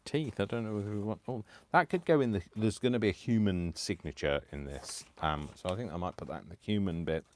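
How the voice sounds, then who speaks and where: A man hosting a live crafting stream, chatting casually and poking fun at himself, tired and clearing his throat. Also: on the low side, fairly quick, hardly any pauses, a little mellow. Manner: chatty, self-deprecating, talks to himself. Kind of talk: rambling casual chat while crafting.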